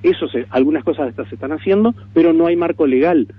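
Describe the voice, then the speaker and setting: A man with a Uruguayan accent explaining proposals in a radio interview, deliberate and repeating words for emphasis. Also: a little high, a little hiss or hum. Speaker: political interviewee. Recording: narrow tinny AM radio stream.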